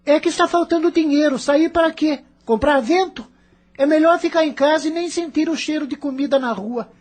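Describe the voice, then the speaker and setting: High-voiced, sullen young boy played by a woman. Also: a little fast, a little mellow, faint music behind, lightly acted. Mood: surly, sarcastic. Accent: Brazilian. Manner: complaining, sarcastic. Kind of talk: audio novel acting.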